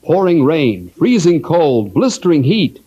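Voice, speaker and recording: An earnest radio storyteller of ranger adventures. His voice is highish and husky, swinging widely in pitch as he dramatically addresses young listeners in old-time children's serial style. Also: very animated, unhurried, dark tone, muffled recording, heavily acted. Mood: dramatic. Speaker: narrator and actor.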